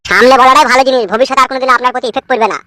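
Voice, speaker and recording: A man's voice: very high-pitched, fairly even intonation, very fast, pushed and bright, dry recording. A man voicing an eager, fawning henchman of a village politician in a Bengali cartoon, with a rural West Bengal accent. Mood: eager, advising. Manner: eager, advising, obsequious. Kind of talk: comic cartoon dialogue.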